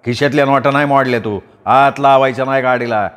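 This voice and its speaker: A man's voice: medium pitch, fairly even intonation, brisk, a bit bright, big-room sound, lightly acted. A man voicing a stubborn former soldier turned farmer who talks bluntly and defiantly.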